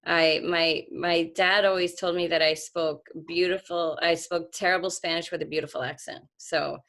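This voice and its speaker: A woman with a low, gravelly voice talks as an author on a video call. She speaks earnestly and reflectively, often restarting her sentences. Her tone sits deep in her range. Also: little pitch movement, moderate pace, fairly bright tone. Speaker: author being interviewed.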